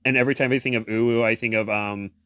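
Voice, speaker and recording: A woman's voice: extremely low pitch, steady tone, fast talker, a bit bright, no room sound. Bantering woman co-host, dry sound. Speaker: podcast co-host. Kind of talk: casual joking banter.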